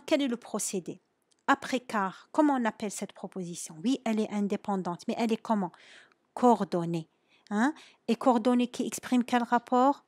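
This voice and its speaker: A woman's voice: on the high side, darkish tone, no room sound. A woman teaching a French grammar lesson. She asks her students questions and answers them herself, stressing the key words. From Algeria.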